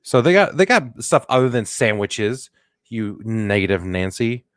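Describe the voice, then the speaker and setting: A man's voice: medium pitch, very animated, a little slow, no echo, clean studio sound. A man co-hosting a comedy podcast, joking and riffing in casual chat, now and then slipping into silly put-on voices. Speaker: podcast co-host.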